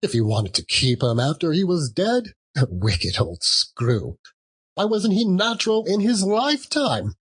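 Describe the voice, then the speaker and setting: Storybook charwoman male reader: callous old scavenger, scornful, high-pitched and raspy. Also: wildly swooping pitch, a little slow, exaggerated voice. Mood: scornful, defiant. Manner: exclamatory, scornful, laughing between phrases. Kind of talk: theatrical Victorian storybook reading.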